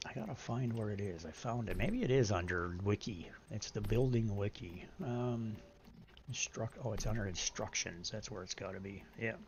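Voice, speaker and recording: A middle-aged man with a deep voice streaming a game, chatting casually, explaining patiently and reading menu items aloud. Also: moderate pace, slight background noise. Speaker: live streamer. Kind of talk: casual gaming stream chat.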